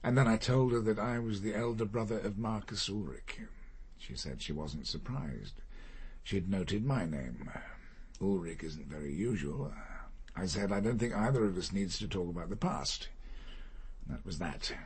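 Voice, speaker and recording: An actor playing a reserved middle-aged barrister in a radio crime drama, speaking formally and gravely. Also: male voice, low-pitched, lively intonation, normal speed, heavily acted. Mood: guarded, reflective.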